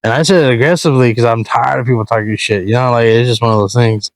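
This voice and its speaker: A man's voice: mid-range voice, fairly even intonation, quick pace, no room sound. Profane laid-back Californian man. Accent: slight Southern drawl. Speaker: podcast co-host. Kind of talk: casual chat.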